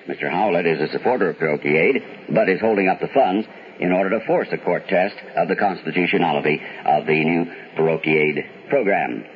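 A man playing a 1970s radio newscaster, reading bulletins in a measured, formal way with some hesitation over his copy. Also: deep voice, lightly acted. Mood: measured, matter-of-fact. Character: steady male radio newsman reading bulletins. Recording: dull, noisy vintage 1970s radio broadcast.

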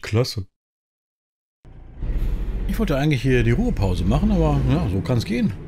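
Lively middle-aged man over truck noise. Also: medium pitch, moderate pace. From Germany. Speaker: host of a truck simulator roleplay series. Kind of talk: casual gameplay commentary in character. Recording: clear vehicle noise behind the voice.

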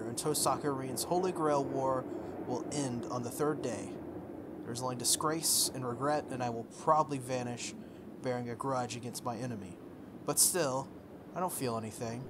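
A game playthrough host reading on-screen text aloud and commenting casually, reacting out loud with exclamations and questions. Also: medium pitch, noisy background. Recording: music behind the voice. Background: game and anime music.

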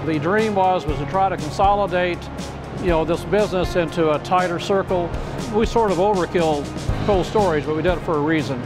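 An older man with a fairly high voice speaks earnestly about the business he built, with a few restarts along the way. Music plays clearly underneath. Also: a little fast. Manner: earnest, proud, with small restarts. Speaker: company founder. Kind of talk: promotional interview.

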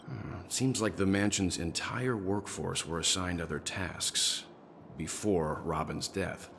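An actor playing a composed, shrewd, suspicious man in a sci-fi fantasy game, with gravelly voice and deliberate, grave phrasing. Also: sits low, a bit bright, slight background noise, full character voice. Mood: wary, pensive. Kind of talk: video game voice acting. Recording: game audio with music behind.

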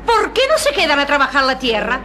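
Shrill-pitched, indignant woman. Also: big pitch swings, brisk, sharp tone, some chatter behind, full character voice. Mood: indignant, contemptuous. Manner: indignant, contemptuous. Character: indignant, contemptuous woman complaining in the street. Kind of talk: radio drama acting.

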